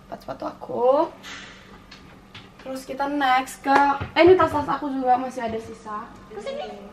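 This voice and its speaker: A woman's voice: high-pitched, lively intonation, normal speed. A young woman, chatting informally and playfully as she moves around giving a casual house-tour vlog. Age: young adult. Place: indoors, rooms of a house. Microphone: handheld camera mic at some distance. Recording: echoey rooms, distant camera mic, noisy.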